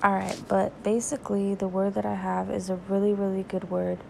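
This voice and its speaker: A woman hosting a devotional podcast, sounding stuffy and raspy from crying, talking earnestly and praying in a conversational way. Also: medium pitch, level tone, background noise. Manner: earnest, conversational, slow and prayerful. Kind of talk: informal devotional talk and prayer.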